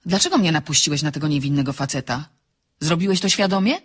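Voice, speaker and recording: A woman giving an expressive reading of a novel, acting out the dialogue, in a husky voice. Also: low voice, fast.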